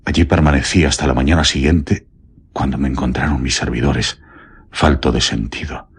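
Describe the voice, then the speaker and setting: Deep, husky, frightened Castilian prison warden. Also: male voice, fairly even intonation, heavily acted. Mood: haunted, fearful. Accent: Castilian Spanish. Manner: halting, trembling, pleading. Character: terrified, guilt-ridden male prison warden confessing. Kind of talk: Spanish radio drama acting.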